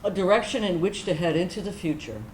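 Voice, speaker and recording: A woman's voice: fairly low pitch, quick pace, darkish tone, slight background noise, lightly acted. A woman giving a scripted staged reading as a veteran reflecting on secret service. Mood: earnest. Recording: echoey room.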